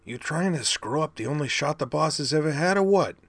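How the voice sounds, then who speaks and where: Rumble, a man doing a brash punk robot. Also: expressive, a little fast, breathy edge, no room sound, heavily acted. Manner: slangy, swaggering, loud. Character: brash young punk male robot, streetwise slang.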